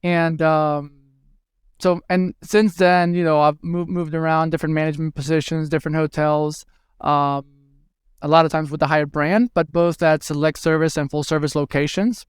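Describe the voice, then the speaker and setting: Brief: man from Venezuela, thirties, smooth voice, slightly higher register, hesitant chatty guest. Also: flat delivery, normal speed, dry recording, studio quality. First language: Spanish. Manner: hesitant, many fillers and restarts. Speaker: guest, hospitality tech executive. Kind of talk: conversational interview answers.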